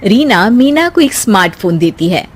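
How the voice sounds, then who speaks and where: A woman's voice: medium pitch, sing-song, moderate pace, over music. A woman narrating a scripted moral story as storyteller.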